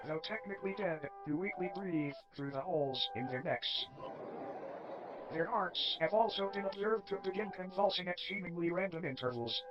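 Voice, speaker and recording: A man reading scripted horror documentary narration in a measured, matter-of-fact way with dry dark humour. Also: sits high, dull audio. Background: clear background music.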